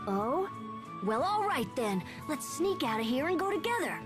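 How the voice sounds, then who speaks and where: A woman's voice: high-pitched, normal speed. A woman playing a brash, headstrong young boy with a caring big-brother streak in a video game dub. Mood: excited, surprised. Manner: casual, lively, impulsive. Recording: music under the voice.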